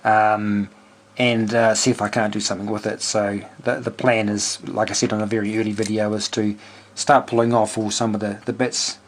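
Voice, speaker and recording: A man with a New Zealand accent talking casually and unscripted in a halting, rambling way, as a hobbyist thinking aloud. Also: lowish voice, level tone, a little hiss or hum. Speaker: amateur radio operator and homebrew electronics hobbyist. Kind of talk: casual unscripted technical walkthrough.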